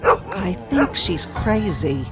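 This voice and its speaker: An actress playing a wary, gentle wife in a radio drama. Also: female voice, medium pitch, sing-song, slow pace. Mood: worried. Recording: music under the voice.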